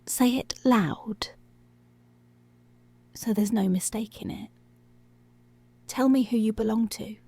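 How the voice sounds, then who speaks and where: A woman playing a commanding, seductive policewoman in an intimate ASMR roleplay, speaking slowly and deliberately in a breathy voice that often drops to a whisper. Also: a little high, warm tone, heavily acted. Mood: commanding, possessive. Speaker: ASMR voice actor.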